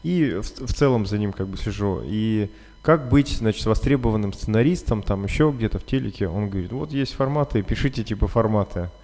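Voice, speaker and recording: Low-voiced man chatting in a reverberant space. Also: expressive, brisk, hardly any pauses, warm tone. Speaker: podcast host. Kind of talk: casual conversational interview. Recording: long hall-like reverb.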